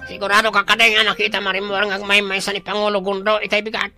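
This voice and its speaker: High, sharp-voiced sly henchman. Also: completely flat delivery, quick pace, faint music behind. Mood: scheming. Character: obedient henchman of a villainous chief, sly. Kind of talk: radio drama acting.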